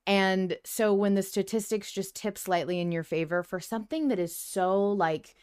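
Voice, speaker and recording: A woman's voice: mid-range voice, normal speed, a little mellow, clean tone. A woman co-hosting a podcast in casual fan chat, talking effusively and emphatically and swearing freely. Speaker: podcast co-host. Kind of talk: casual fan discussion of an audio drama.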